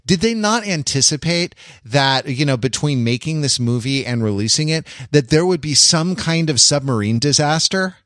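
A man hosting a casual discussion podcast, explaining things at length in a halting, backtracking, wry way. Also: a little high, animated, fairly bright tone, no echo, studio quality. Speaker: podcast host.

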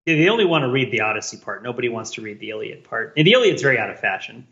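A man's voice: medium pitch, racing, highs cut off. A man co-hosting a podcast in casual discussion, talking in a rambling, wry way and often questioning his own points. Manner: rambling, self-questioning, wryly animated argument. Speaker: podcast co-host.

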